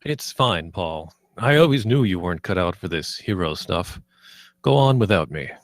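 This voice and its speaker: A man's voice: deep voice, lively intonation, normal speed. A man playing a melodramatic, self-sacrificing spaceship captain in a comedy table read. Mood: melodramatic resignation.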